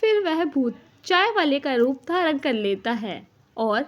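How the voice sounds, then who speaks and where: A woman narrator tells a scripted cartoon story. Her voice sits high, and she takes the tale slowly, line by line. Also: big pitch swings.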